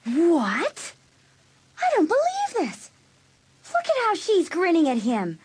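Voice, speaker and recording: Teenage girl, high voice, very animated, emotional, excitable, audiobook drama. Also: slow pace, slight background noise, heavily acted. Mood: indignant. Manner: excitable, exclamatory.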